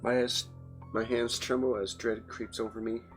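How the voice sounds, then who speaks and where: A man's voice: medium pitch, little pitch movement, moderate pace. A man hosting a let's play, reading story narration aloud with some dramatic emphasis and casual commentary. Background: loud game music.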